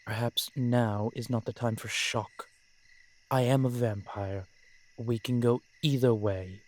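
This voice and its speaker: A man playing a brooding, protective vampire in an audio roleplay, speaking in formal, measured phrases with dramatic pauses. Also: mid-range voice, fairly even intonation, a little mellow, close to an everyday voice. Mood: weary, guarded. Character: brooding, protective male vampire, old-fashioned and frustrated. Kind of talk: scripted audio roleplay acting addressed to the listener.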